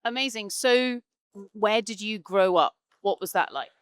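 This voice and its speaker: A woman's voice: mid-range voice, lively intonation, measured pace, a bit bright, smooth, no echo, clean studio sound. A woman hosting a podcast, reading a composed intro and then asking interview questions. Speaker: podcast host.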